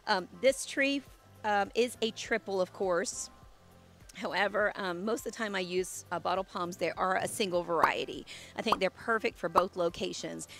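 A woman's voice: mid-range voice, normal speed, gravelly, fairly bright tone, soft background music. A woman in her thirties, a landscape designer, giving an enthusiastic, chatty walkthrough of her garden outdoors on a handheld mic while losing her voice.

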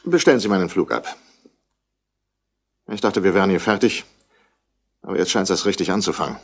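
A man's voice: low-pitched, expressive, brisk. An actor playing a haughty, irritable wealthy man in a 1960s radio play, speaking in a curt, formal way.

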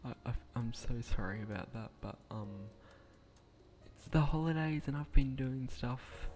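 A young man with a croaky voice, speaking quietly at night in a hesitant, rambling way with frequent restarts as he narrates a game build. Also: mid-range voice, measured pace, faint music behind. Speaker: gaming channel creator.

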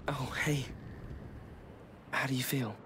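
Man acting gentle, slow-speaking young man. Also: medium pitch, steady tone, background noise, lightly acted. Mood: reflective, tender. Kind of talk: video game voice acting.

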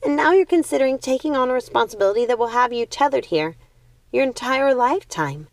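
Woman acting a high-pitched, outraged young witch. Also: lively intonation, fairly quick, no echo. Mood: accusing. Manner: emphatic, exclaiming, scornful. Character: outraged, pleading young witch sister, horrified and rebellious.

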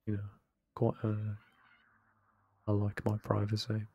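Soft-spoken man, gravelly and breathy. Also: on the low side, completely flat delivery, slow. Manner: soft-spoken, rambling, self-deprecating. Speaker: let's play creator. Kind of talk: casual late-night gameplay commentary. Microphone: close desk microphone, no webcam.

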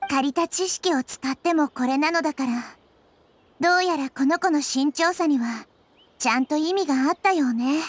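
A woman playing a small, wise girl with magical powers in a Japanese video game, speaking in a measured, reflective manner. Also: high-pitched, natural voice. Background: background music.

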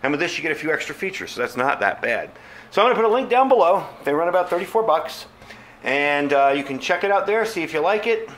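A man's voice: a little high, very animated, fast, fairly bright tone. A man giving a casual, unscripted product review as a channel host, chatting informally to his viewers. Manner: informal, conversational, addresses viewers as folks.

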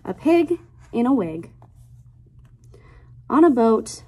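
A woman in her twenties, a teacher reading a picture book aloud to young children in a deliberate, child-directed way. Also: a little high, big pitch swings, a little mellow, slightly rough, poor audio. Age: 20s. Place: small classroom, indoors.

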